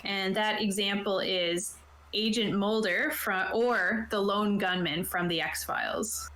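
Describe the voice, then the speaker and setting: A woman with a mid-range voice talks through the rules of a tabletop game. She moves between reading aloud and informal, conversational explanation. The room around her adds a clear echo. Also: mid-range voice, slow pace, a bit bright. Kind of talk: casual explanation, partly reading from a rulebook. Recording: roomy, echoey sound.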